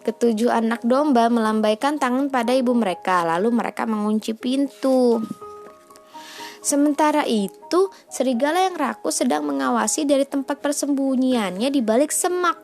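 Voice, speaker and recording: A woman's voice: high voice, normal speed, darkish tone. A woman reading a children's storybook aloud as a bedtime storyteller. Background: faint music.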